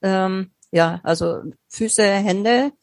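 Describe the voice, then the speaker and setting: A woman's voice: mid-range voice, very slow, no echo, clean studio sound. A woman hosting an interview, asking hesitant questions with many fillers and frequent backchannels.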